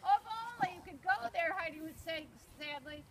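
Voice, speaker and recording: A woman's voice: extremely high pitch, moderate pace, a loud crowd. A woman reading a children's storybook aloud with casual asides, encouraging her co-reader along. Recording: very noisy recording with clicks, animals nearby. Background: animal noises, donkey and goats around.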